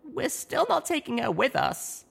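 A man voicing an earnest, stubborn young female unicorn in an audiobook, high and insistent. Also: very animated, measured pace, creaky, a bit bright, husky, exaggerated voice. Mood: firm. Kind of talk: audiobook reading.

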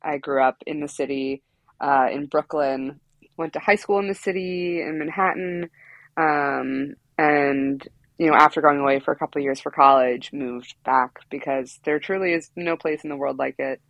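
A woman's voice: sits low, normal speed, fairly bright tone, no echo, dull audio. Giggly New woman guest. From New York. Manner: playful, giggly, rambling. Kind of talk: casual comedic podcast chat.

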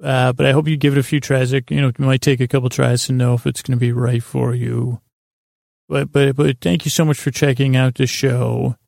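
A man with a creaky, gravelly voice, rambling gently and hesitantly as the friendly, goofy host of a bedtime podcast. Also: medium pitch, little pitch movement, fairly quick, no echo, studio quality. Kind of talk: rambling, meandering bedtime monologue.

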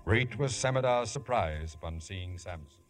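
An actor playing a solemn biblical storyteller, narrating a 1950s radio drama in a stately scriptural cadence. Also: male voice, deep voice, expressive, moderate pace, faint music behind.

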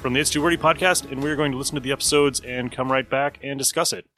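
A man's voice: medium pitch, steady tone, racing. A man delivering a scripted show introduction as a podcast host. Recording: voice over music.

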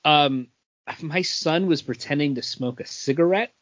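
A man's voice: a little high, unhurried, fairly bright tone, highs cut off. A man co-hosting a podcast, telling anecdotes in casual chat. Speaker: podcast co-host.

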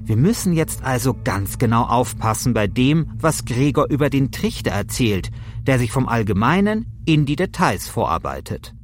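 A man's voice: mid-range voice, very animated, moderate pace. A middle-aged man narrating a scripted podcast in a wry, self-mocking way. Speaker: podcast host.

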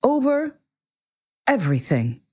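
A woman's voice: mid-range voice, big pitch swings, normal speed. A woman voicing a proud, kindly but imperious king in a storybook reading, with formal, commanding phrasing. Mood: grand, absolute. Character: proud, pompous but kindly absolute monarch, male king.

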